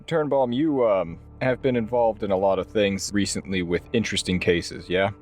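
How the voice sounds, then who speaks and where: A man playing a tabletop roleplaying game, improvising in-character lines with measured, somewhat formal phrasing. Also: fairly low pitch, darkish tone, soft background music.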